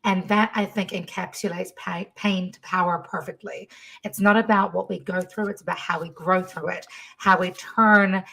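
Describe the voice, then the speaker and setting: A woman from New Zealand with a New Zealand accent, a musician chatting as a podcast guest in an animated, laughing way. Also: lowish voice, normal speed, creaky, husky, rough recording. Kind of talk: casual interview chat.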